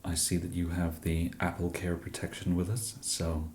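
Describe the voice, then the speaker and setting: English man, ASMR roleplay, deep mellow voice, roomy echo, faint noise. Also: completely flat delivery, moderate pace. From England. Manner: soft-spoken, hesitant with many fillers. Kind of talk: ASMR roleplay as a shop genius.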